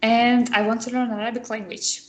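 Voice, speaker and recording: A woman's voice: fairly high pitch, level tone, a little fast, echoey room. A woman whose first language is Spanish, a student in an online lesson, talking hesitantly with many fillers. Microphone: video call.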